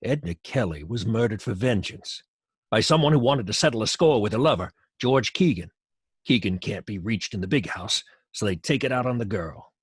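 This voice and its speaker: An actor playing a bossy, irritable middle-aged police commissioner in an old-time radio crime drama, brusque and commanding. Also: male voice, on the low side, lively intonation, moderate pace, husky, no room sound. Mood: brisk, certain.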